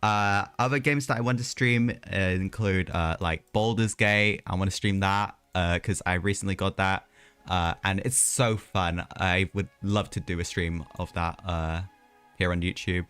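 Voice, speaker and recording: A man's voice: low-pitched, fairly bright tone, smooth. A man live streaming a video game, chatting casually in a rambling way with hesitant asides.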